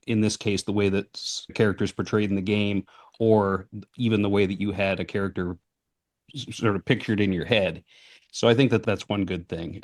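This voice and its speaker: A man speaking casually as a voice actor on a panel, measured and thoughtful, sometimes repeating words as he tells anecdotes. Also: fairly low pitch.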